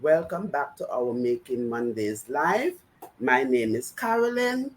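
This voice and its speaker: A woman's voice: very deep voice, a little hiss or hum. A woman from the US Virgin Islands hosting a casual online business talk show, greeting and introducing her guests. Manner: lively, chatty, drawn-out greetings. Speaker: host.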